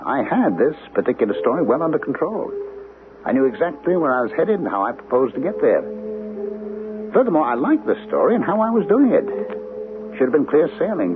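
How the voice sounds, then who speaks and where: An actor playing a brooding forty-two-year-old male writer who narrates his own story in a wry, musing way. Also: mid-range voice. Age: 40s. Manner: wry, musing, drifting into gloom. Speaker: narrator and lead. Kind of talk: 1970s radio drama acting. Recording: tinny lo-fi old radio broadcast with faint hiss.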